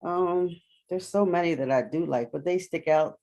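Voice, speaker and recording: Female interview guest, low pitch, dark mellow tone, casual chat. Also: normal speed.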